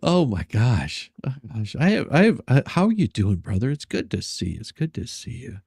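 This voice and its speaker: A man with a fry-laden, very animated voice chats casually as a stream host. He reads messages aloud with sarcastic, joking asides and often repeats words as he goes. The sound is dry, with little room in it. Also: mid-range voice, normal speed, dry recording.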